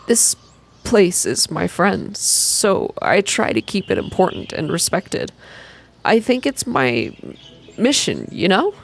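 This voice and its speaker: A woman voicing an earnest, plain-spoken male forest guide in a story game, measured and sincere. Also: mid-range voice, big pitch swings, creaky, heavily acted. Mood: solemn, sincere.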